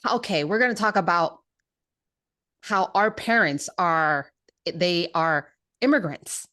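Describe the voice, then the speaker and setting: A young woman hosting a podcast, bubbly and eager, chatting casually with quick bursts. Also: on the low side, sharp tone. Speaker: podcast host.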